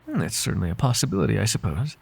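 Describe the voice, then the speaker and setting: A man playing a devoted, flirtatious butler with a secret in an intimate ASMR roleplay, speaking softly in polite, formal phrases with halting hesitations. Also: lowish voice, very animated, vocal fry, breathy edge, heavily acted. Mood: uneasy curiosity. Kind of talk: ASMR roleplay voice acting, intimate one-sided dialogue.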